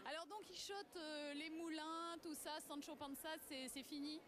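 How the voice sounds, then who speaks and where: A woman reporter interviewing on location at an event, chatty and pausing often as she forms her questions. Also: high-pitched, steady tone. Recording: crowded event, clear crowd noise. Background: crowd at event.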